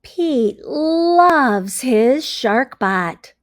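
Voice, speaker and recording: A woman reading a picture book aloud to young children, deliberately and simply, pausing to put questions to her listeners. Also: high voice, expressive, no echo, studio quality. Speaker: read-aloud storyteller for early readers.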